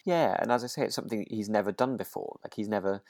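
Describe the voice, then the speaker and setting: A man's voice: on the low side, quick pace, darkish tone, dry recording, studio quality. A man co-hosting a podcast, chatting informally and thinking aloud in a tentative way. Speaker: podcast co-host. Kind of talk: relaxed, informal discussion.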